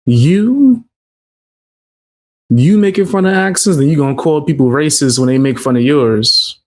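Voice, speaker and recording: A man's voice: on the high side, expressive, unhurried. A man giving casual reaction commentary in a slangy, ribbing, incredulous way.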